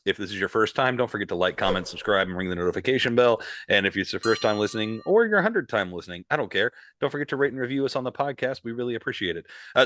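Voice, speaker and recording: Male podcast co-host, deep-ish voice, relaxed rambling chatter, dry booth sound. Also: no echo. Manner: loose, rambling, fillers. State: recovering from illness. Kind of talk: casual podcast chat.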